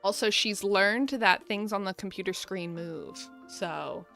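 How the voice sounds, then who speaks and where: A woman's voice: medium pitch, measured pace, gravelly, sharp tone, soft background music. A woman doing casual live gaming commentary, chatting and thinking aloud as she plays. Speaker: game streamer.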